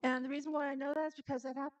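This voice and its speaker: A woman with a slightly high voice hosts a relaxed live cooking stream, talking straight to her viewers as she works. She chats freely and laughs easily. The sound is dry and close, with no room echo. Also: rapid-fire, lo-fi sound.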